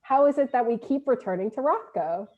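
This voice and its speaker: A woman's voice: on the high side, animated, brisk, phone-quality sound. A woman casually moderating a panel talk, chatting and reading out questions as a theatre director.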